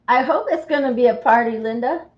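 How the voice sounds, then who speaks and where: A woman hosting a craft livestream, chatting casually and greeting viewers by name as she works, her voice slightly croaky from a cold. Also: high-pitched, a little mellow, muffled recording. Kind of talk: casual chat while crafting.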